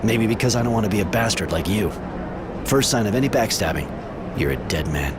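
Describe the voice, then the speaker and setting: Male actor as gruff game mercenary, low voice, curt, sardonic, loud music underneath. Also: animated, fairly quick, lightly acted. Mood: scornful. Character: Roy, gruff cynical reluctant mercenary with a decent streak. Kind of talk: video game cutscene acting.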